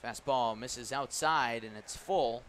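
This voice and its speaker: A man's voice: medium pitch, slow pace, a bit bright. A man doing live play-by-play radio commentary of a baseball game. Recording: live ballpark broadcast with background noise.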